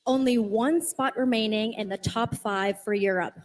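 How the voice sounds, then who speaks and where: A woman hosting a pageant live, announcing with drawn-out suspenseful reveals. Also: fairly high pitch. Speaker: pageant host. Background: music.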